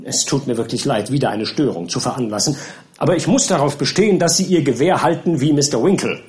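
A man voicing a fussy, stubborn gentleman in an audiobook, protesting with emphatic, plaintive politeness. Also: mid-range voice, lively intonation, fast talker, lightly acted. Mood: anxious, firm.

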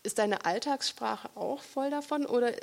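A woman interviewing an author at a book reading, talking thoughtfully and pausing often to search for words. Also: on the high side, creaky, darkish tone. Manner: reflective, searching for words with many pauses.

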